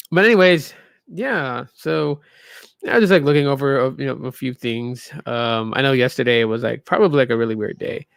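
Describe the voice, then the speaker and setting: Tired man rambling on a live stream, gravelly. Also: mid-range voice, a bit bright, no room sound, studio quality. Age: 30s. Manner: rambling, loose, lots of fillers. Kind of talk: casual live stream chat. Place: small room in a new home.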